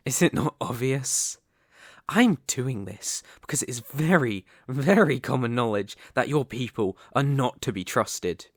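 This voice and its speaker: A man's voice: mid-range voice, no room sound, clean studio sound. A man playing a proud, wary male elf hunter who is hostile to humans, stern and indignant, in an ASMR roleplay. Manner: stern, indignant, halting when baffled.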